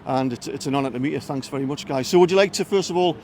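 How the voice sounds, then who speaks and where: A man's voice: medium pitch, very fast, a little mellow, slight background noise. A man interviewing football fans outdoors, talking tactics in an eager, pundit-like way. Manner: eager, punditry-style questions.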